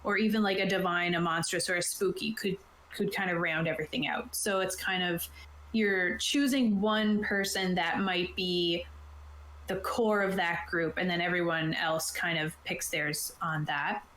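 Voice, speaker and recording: A woman's voice: on the low side, fairly even intonation, moderate pace, a bit bright, slight background noise. Woman explaining game rules in an echoey room. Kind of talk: casual explanatory talk, reading from a rulebook. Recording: roomy, echoing sound.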